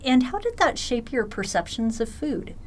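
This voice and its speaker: Woman interviewer with a lively voice. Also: a little high, normal speed. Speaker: interviewer, science writer. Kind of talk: interview questions.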